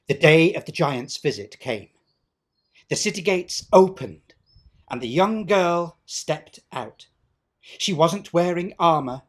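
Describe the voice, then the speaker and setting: A man narrating a folktale as a storyteller, theatrical and emphatic, with suspenseful pauses. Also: on the high side, dry recording.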